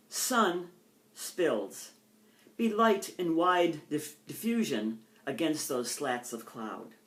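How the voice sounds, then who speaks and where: Older woman, American accent, low voice, poet, deliberate phrasing, informal poetry reading. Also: a bit bright. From United States. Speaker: poet reading own work.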